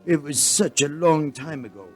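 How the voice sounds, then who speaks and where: A man playing a humble, gloomy alien chancellor in a radio play, speaking in a formal, halting, resigned manner. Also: on the high side, animated, soft background music, close to an everyday voice. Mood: courtly, resigned.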